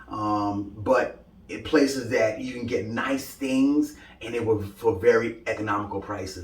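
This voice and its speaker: A young man from Brooklyn, answering interview questions about his style brand in an upbeat, rambling way with lots of "you know.". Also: medium pitch. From Brooklyn, New York. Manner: upbeat, talks in loose run-ons with many "you know" fillers. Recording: echoey room, mic at a distance.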